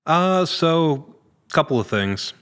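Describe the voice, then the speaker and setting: A man's voice: a little high, big pitch swings, slow pace, fairly bright tone, smooth. A man, an actor being interviewed as a podcast guest, answering casually in a thoughtful, searching way. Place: large indoor studio room. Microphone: podcast microphone on a boom arm.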